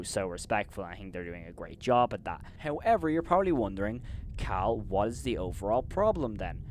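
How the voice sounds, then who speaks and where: A man hosting a commentary video, giving opinionated criticism, leaning on "of course" and "in my opinion" and turning loud and incredulous when he rants. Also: on the low side, a little hiss or hum. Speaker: host and reviewer.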